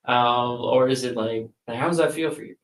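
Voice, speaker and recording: A man's voice: mid-range voice, moderate pace, reverberant, distant mic, rough recording. A man, an author, chatting casually and rambling, with many fillers and restarts. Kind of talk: casual chat.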